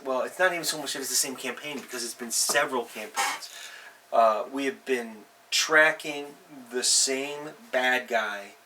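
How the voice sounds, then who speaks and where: Male host, bright, forceful voice, informal unscripted advice delivery, emphatic and conversational, recorded a little away from the mic. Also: medium pitch. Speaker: host of a tabletop role-playing advice show. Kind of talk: casual unscripted advice talk. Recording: distant mic, faint background noise.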